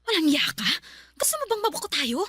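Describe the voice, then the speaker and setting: A woman's voice: extremely high pitch, big pitch swings, a little fast, fairly bright tone, dry recording, heavily acted. A woman playing a brash, huffy mistress with a Filipino accent in a radio drama, exclaiming and complaining in exasperation. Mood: indignant. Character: indignant, brash adult mistress caught out, huffy.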